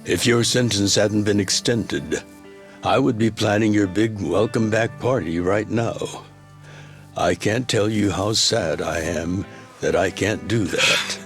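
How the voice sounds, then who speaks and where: Deep, fatherly older yakuza boss. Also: faint music behind. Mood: tender, wistful. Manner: measured, heartfelt letter reading. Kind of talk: video game drama voice-over.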